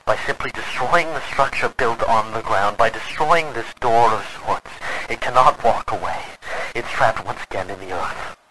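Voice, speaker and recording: An actor playing a desperate, devoted man recording a last message, speaking gravely and haltingly in radio drama style. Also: medium pitch, background noise, natural voice. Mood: grim urgency. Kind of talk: golden age radio drama acting.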